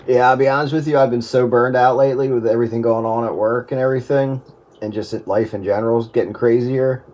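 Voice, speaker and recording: A man's voice: medium pitch, steady tone, quick pace, darkish tone. A middle-aged man chatting casually over amateur radio in a rambling way, often restarting his sentences.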